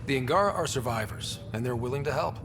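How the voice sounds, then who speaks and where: Man playing an easygoing young space explorer, mid-pitched. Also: animated, fast, over music, lightly acted. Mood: easygoing, curious. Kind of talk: scripted video game dialogue.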